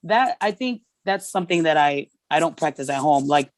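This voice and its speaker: A woman's voice: sits low, lively intonation, moderate pace, no echo. A woman from Tonga whose first language is Tongan, speaking candidly as a podcast guest, often stopping and restarting her phrases. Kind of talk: casual conversation.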